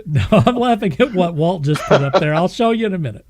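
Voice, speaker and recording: A man's voice: high voice, expressive, fast, slight background noise. A man hosting a podcast, casually moderating a panel and putting questions to guests. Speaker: podcast host.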